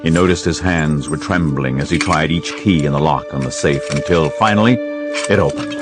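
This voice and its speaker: Very deep male drama narrator. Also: moderate pace, over music. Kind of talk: scripted audio drama narration.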